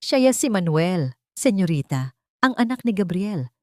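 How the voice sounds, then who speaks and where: A woman voicing a gentle, respectful elderly male family caretaker in an audiobook drama. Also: on the low side, big pitch swings, moderate pace, no room sound, clean studio sound. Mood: solemn. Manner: respectful, mild.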